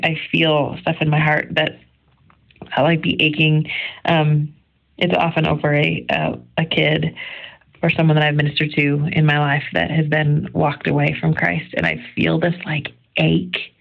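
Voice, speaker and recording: Low, gravelly woman on phone-like audio. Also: flat delivery, normal speed. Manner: earnest, conversational, emphatic. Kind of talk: informal devotional reflection on scripture.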